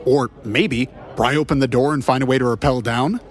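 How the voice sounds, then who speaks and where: A man playing a wisecracking, awkward private detective with a rough ex-cop edge in an audio drama. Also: medium pitch, fairly even intonation, moderate pace, close to an everyday voice. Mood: casual, wry. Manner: flustered stammering, flippant quips.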